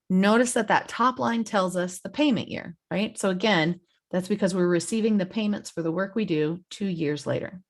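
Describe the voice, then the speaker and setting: Woman doing a webinar talk, explains slides in a conversational way, mid-pitched voice. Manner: conversational, explanatory, checks in with "right?". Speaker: webinar presenter, program expert.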